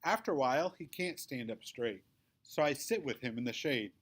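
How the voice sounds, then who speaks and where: A man's voice: mid-range voice, expressive, normal speed, a bit bright, breathy edge. Man reading a children's book aloud. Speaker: read-aloud storyteller. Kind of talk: scripted children's book read-aloud, first-person narration.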